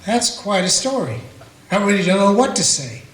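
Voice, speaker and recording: A man playing a steady small-town Old West lawman in a radio play, telling the story plainly in the first person. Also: high-pitched, normal speed, background noise. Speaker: narrator and lawman character. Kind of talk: amateur radio play acting. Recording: echoey room with guitar music.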